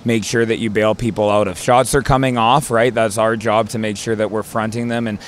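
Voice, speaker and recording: A man's voice: lowish voice, little pitch movement, a little fast, a little hiss or hum. A young man, an assistant coach, answering postgame questions beside a hockey rink, peppering his talk with fillers and "right?". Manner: filler-heavy, repeats words, says "right?". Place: indoor hockey arena rinkside. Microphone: handheld mic held by interviewer.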